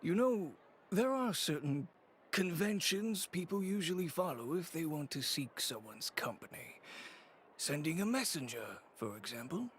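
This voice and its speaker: An actor playing an aloof, condescending businessman in a video game, dry and drawn-out in delivery. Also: a little high, animated, fairly bright tone, a little hiss or hum. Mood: dryly condescending. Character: aloof, condescending businessman supplier, dryly amused.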